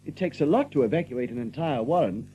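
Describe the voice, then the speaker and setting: An actor voicing a nervy, gentle rabbit survivor in an audio drama, confiding and repeating his phrases. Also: medium pitch, animated, quick pace, muffled recording, slight background noise, lightly acted. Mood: shaken, rueful. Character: nervy, soothing male rabbit survivor of a massacre.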